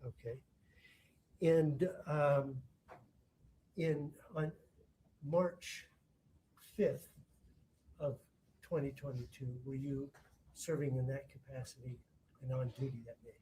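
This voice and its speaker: Man, courtroom prosecutor, warm dark tone, methodical but halting questioning. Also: mid-range voice, steady tone, a little hiss or hum, rough recording. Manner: halting, rephrases questions mid-sentence.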